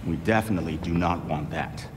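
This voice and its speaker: A man's voice: low-pitched, brisk, background noise, close to an everyday voice. A young man voicing a blunt, sarcastic vigilante hero in a game cutscene. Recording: echoey cutscene hall with loud music.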